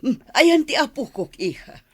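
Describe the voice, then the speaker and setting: A woman plays an older mother and grandmother in a radio drama. She speaks with an Ilocano accent and swooping, animated pitch in a dry recording. She is doting yet sceptical. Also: moderate pace, dry recording. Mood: delighted.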